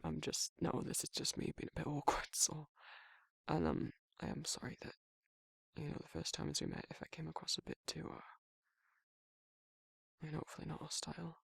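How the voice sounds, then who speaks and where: A British man playing a protective but awkward male werewolf in an intimate romantic ASMR roleplay, speaking in a halting, flustered, husky voice. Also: fairly high pitch, a bit bright, no echo, clean studio sound, full character voice. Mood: reassuring, awkward, then apologetic, protective. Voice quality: gravelly, husky, breathy. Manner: flustered, halting, stammering, trailing off.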